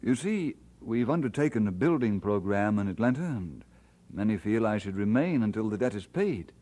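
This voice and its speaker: An actor playing an earnest, devoted minister and husband in an old-time radio drama, speaking in a measured, sincere way. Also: male voice, fairly low pitch, sing-song, warm tone. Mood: earnest, deliberate.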